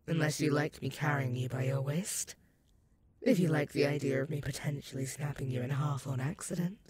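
A woman's voice: sits low, crowd noise, close to an everyday voice. A woman playing an ancient, sadistic and possessive female werewolf demon in a roleplay audio, purring menace and mocking pet names at the listener. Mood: possessive, teasing. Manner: slow, teasing, purring menace with mocking endearments.

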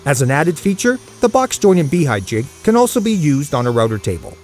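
A man's voice: a little high, big pitch swings, fairly quick, a little mellow. A man reading a polished promotional voice-over for a woodworking jig. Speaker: commercial announcer. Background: faint music.

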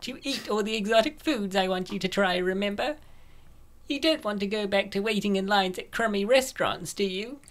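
A medium-pitched young woman acted by a woman in a comic dub. She is a poised, wealthy friend with an everyday, conversational delivery. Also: normal speed, natural voice. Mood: relaxed, indulgent.

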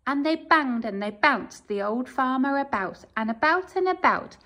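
A woman teacher reading a picture book aloud to her pupils in an empty classroom, with expressive storytelling. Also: sits high, normal speed.

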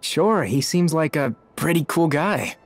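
A man playing a confident, brash but friendly teenage boy who leads his friends, in an animated video game. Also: fairly high pitch, measured pace, faint music behind.

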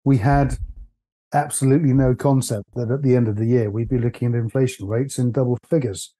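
A man, husky from laryngitis, co-hosting a casual investment podcast in a measured, thoughtful way. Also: medium pitch, steady tone, dark tone. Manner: measured, thoughtful, with frequent "uh" and restarts. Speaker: podcast co-host, stock analyst.

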